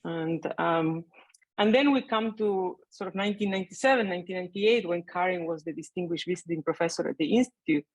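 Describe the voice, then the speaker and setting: A woman's voice: on the low side, a little fast, no echo, low-quality recording. Woman on a video call. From Argentina. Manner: conversational, hesitant with many fillers. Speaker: panelist, mathematician. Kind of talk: remote panel remarks.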